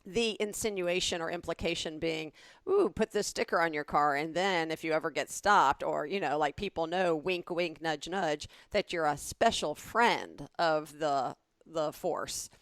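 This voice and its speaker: Lower-voiced woman explaining things on a podcast. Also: measured pace, a bit bright, no room sound. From Southern US. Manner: explains at length, keeps asking "right?". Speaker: podcast co-host. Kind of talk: casual conversational explaining.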